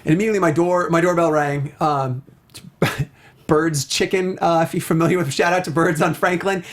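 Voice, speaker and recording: A man's voice: fairly high pitch, steady tone, moderate pace. Chatty, enthusiastic male talk show host. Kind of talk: casual video game and film discussion.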